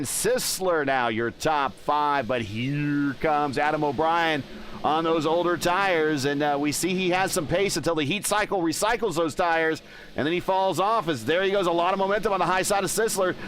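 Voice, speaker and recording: Male lead race caller, excitable play-by-play, reads chat aloud, faint background hiss. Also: a little high. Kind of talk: live sim racing commentary.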